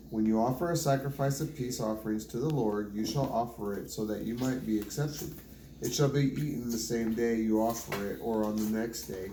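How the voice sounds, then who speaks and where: A man reading scripture aloud in a plain, measured way, with occasional small stumbles. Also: lowish voice. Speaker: Bible reader. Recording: roomy home recording with faint background noise.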